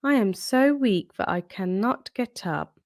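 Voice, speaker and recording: A woman's voice: mid-range voice, animated, measured pace, a little mellow. A woman voicing a weak, ill and kindly old grandmother in a children's storybook. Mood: frail, kindly. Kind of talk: storybook read-aloud character.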